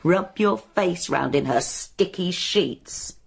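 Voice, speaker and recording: An actress playing a bitter, wounded widow in a radio drama, sarcastic and confrontational. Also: female voice, lowish voice, slow, vocal fry, slightly rough, exaggerated voice. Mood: taunting anger. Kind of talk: modern radio drama acting.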